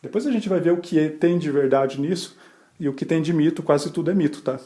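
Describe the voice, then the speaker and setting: A man from Brazil hosting a podcast, explaining ideas in a relaxed, conversational way, with rhetorical questions and brief asides where he acts out other people. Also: a little high, fairly quick. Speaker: podcast host. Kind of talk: explanatory solo podcast talk.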